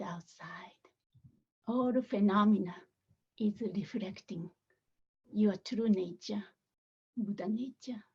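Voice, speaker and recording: A woman meditation teacher leading a guided meditation softly and gently, with many pauses and a breathy voice that often nears a whisper. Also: mid-range voice, dull audio.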